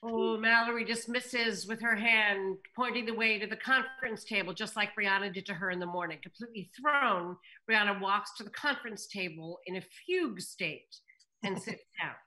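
A woman's voice: mid-range voice, normal speed, sharp tone, poor audio. An older woman reading scripted stage directions as host of a table read, at home on a video call. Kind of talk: scripted narration. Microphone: computer mic on a video call.

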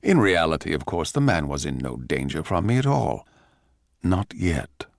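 A man playing a bitter, envious male court composer, narrating in a measured, theatrical, sardonic way for radio drama. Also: very deep voice, sing-song, vocal fry, voice pushed down, heavily acted. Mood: brooding, sardonic.

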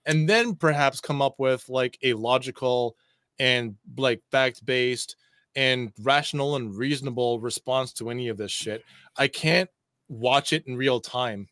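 A man's voice: medium pitch, measured pace, a bit bright, no echo, clean studio sound. A man co-hosting a talk show on a video call, ranting casually in an exasperated, sweary way.

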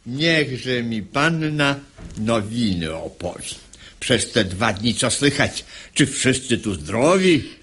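An actor playing a doting, gullible middle-aged master of the house in a radio verse comedy. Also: male voice, mid-range voice, big pitch swings, slow pace, fairly bright tone, slightly rough, full character voice. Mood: fussy, doting. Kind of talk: classic stage comedy radio acting in verse.

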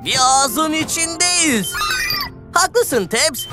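Kids' cartoon hero: curious, upbeat boyish explorer, high swooping delivery, music underneath. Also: female voice, animated, drawn-out words, full character voice. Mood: excited. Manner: enthusiastic, exclamatory, inquisitive. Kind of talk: children's cartoon dialogue.

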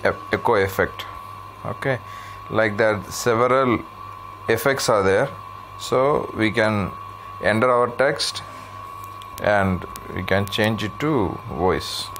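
A man narrates an app tutorial at a slow, unhurried pace. His pitch is average and the delivery is unscripted, with faint noise behind the voice. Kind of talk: unscripted tech tutorial voice-over.

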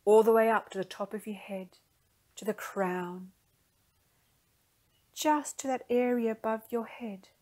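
Slow, gentle woman guiding a meditation. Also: medium pitch. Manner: gentle, unhurried, soothing, with long soft phrases. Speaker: meditation guide. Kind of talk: guided meditation.

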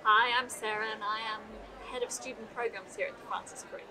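A middle-aged woman who heads student programmes, giving clear, practical advice to applicants. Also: on the high side, steady tone, fairly quick. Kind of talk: answering interview questions to camera. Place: large open atrium, indoors. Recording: distant voice with crowd chatter behind.

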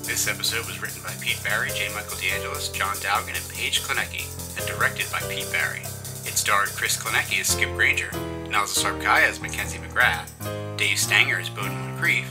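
A man's voice: fairly low pitch, natural voice. A man reading scripted credits as a formal announcer. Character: male mission briefing voice, formal announcer. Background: music.

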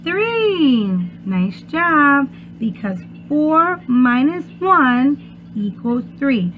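A woman's voice: high voice, big pitch swings, extremely slow. A young woman speaking as a preschool teacher, reading aloud to children in a sing-song way and asking them questions. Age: young adult. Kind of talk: children's read-aloud lesson. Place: small room at home. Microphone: webcam or laptop at arm's length. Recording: muffled phone-like webcam sound, loud noise.

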